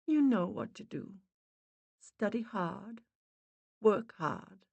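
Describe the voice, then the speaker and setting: A woman's voice: medium pitch, lively intonation, takes it slowly, creaky, darkish tone, slightly rough, voice pushed up, exaggerated voice. Woman voicing a faint, muffled ghostly Boston mother. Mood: tender, urging. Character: faint, loving ghost of a middle-aged mother.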